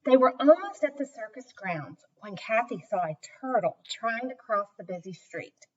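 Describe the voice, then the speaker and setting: A woman reading a children's story aloud with expressive, deliberate emphasis. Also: a little high. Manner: slow, animated, deliberate emphasis. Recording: dull, distant microphone.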